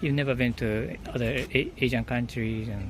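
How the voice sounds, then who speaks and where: A man whose first language is Japanese, asking tourists questions in English on a busy street. He stops and restarts his questions and chuckles between them. His voice sits at a middle pitch, and traffic noise runs clearly behind him. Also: steady tone. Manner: halting, restarts questions, laughs often. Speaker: street interviewer. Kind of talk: casual street interview. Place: outdoors in a street.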